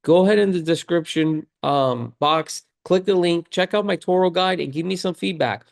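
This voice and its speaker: Slightly hoarse man giving a casual tutorial. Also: fairly high pitch, moderate pace. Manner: conversational, repeats key points for emphasis. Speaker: video creator and car rental host. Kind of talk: casual tutorial talk to viewers.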